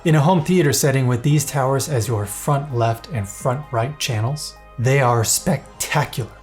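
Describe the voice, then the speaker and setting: A man's voice: mid-range voice, moderate pace, a little mellow, soft background music. A man giving scripted, playful and enthusiastic narration for a product review.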